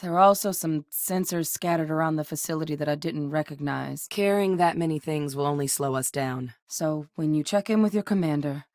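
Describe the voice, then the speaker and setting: An actress playing a steady, guarded former soldier turned scientist in a video game, speaking in a measured, matter-of-fact way and barking orders in combat. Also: female voice, sits low, dry recording, clean studio sound, natural voice.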